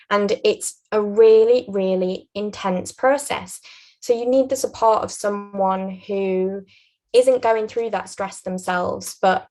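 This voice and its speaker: Yorkshire woman in her twenties giving careful earnest tips in an interview. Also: medium pitch. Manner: earnest, measured advice-giving. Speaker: guest, aspiring barrister. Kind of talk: interview advice.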